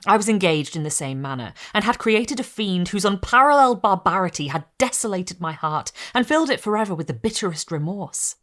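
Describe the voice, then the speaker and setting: Theatrical woman reading gothic fiction. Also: mid-range voice. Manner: theatrical, hushed drops to a whisper. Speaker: host reading a novel aloud.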